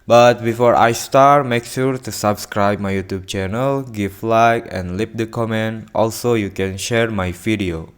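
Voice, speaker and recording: A man presents a how-to tutorial in a fairly deep voice. He takes it very slowly, moving through simple steps one at a time and often pausing to check that the listener follows. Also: clear, resonant tone. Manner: simple step-by-step phrasing with frequent "okay?". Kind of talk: instructional screen tutorial.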